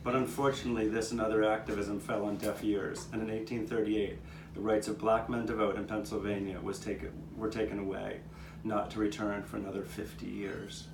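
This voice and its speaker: A man's voice: lowish voice, little pitch movement, a little fast, vocal fry. Guy acting as earnest 1800s townsman telling neighborhood history live, far from mic, noisy recording. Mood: sober, earnest. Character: 19th-century Philadelphia man recalling his neighbors' struggles. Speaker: narrator of a puppet show. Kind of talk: scripted first-person historical narration, storytelling. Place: outdoor courtyard, live performance. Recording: roomy, distant microphone, noticeable background noise.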